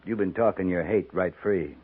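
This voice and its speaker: An American man playing a steady, plain-spoken cavalry captain in a 1950s radio western, firm and laconic. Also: deep voice, normal speed, slightly rough, narrow, tinny audio. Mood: firm, unflinching. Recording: band-limited 1950s radio recording.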